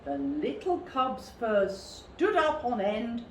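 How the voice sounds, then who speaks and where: A woman reading a children's story expressively, with a dramatic, deliberate delivery. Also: high-pitched, lively intonation, a little mellow. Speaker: storybook reader for a school story time. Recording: roomy, distant mic, faint background noise.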